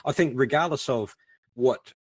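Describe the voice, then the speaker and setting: A man's voice: medium pitch, normal speed, breathy edge, no room sound. A middle-aged man with an Australian accent, explaining things conversationally as a podcast guest. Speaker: podcast guest, ex-detective crime writer.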